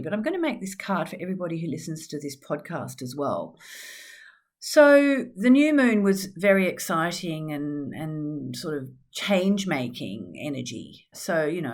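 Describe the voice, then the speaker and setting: Slightly gravelly, chatty woman host. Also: lowish voice. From Australia. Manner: chatty, upbeat, with frequent fillers. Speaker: podcast host and astrologer. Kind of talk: casual solo podcast chat.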